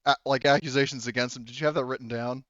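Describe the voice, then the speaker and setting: A man's voice: mid-range voice, fairly even intonation, fast, a bit bright, no echo. A man running a tabletop roleplaying game, narrating and improvising in a loose, conversational way, on a slightly dull mic. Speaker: game master.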